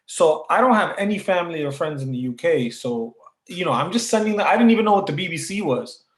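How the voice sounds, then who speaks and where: A man from Canada tells his story in a loose, rambling way, with plenty of filler words. His voice sits a bit high and comes through an echoey room. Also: expressive, fast talker. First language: Punjabi. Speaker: guest rapper. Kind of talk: casual interview over a call.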